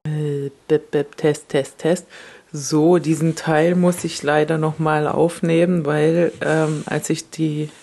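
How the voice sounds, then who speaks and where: A woman's voice: low-pitched, flat delivery, slow pace, vocal fry, mellow. A woman hosting a podcast, chatting casually and rambling with many fillers and restarts. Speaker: podcast host.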